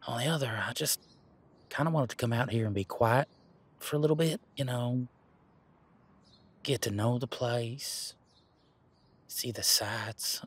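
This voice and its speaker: A man playing an earnest, teasing werewolf boyfriend in an intimate roleplay audio drama, speaking casually and haltingly with a husky voice. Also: medium pitch, no echo. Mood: reflective, gentle. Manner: casual, halting, conversational with trailing pauses. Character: male werewolf boyfriend, earnest, hopeful, teasing former bodyguard.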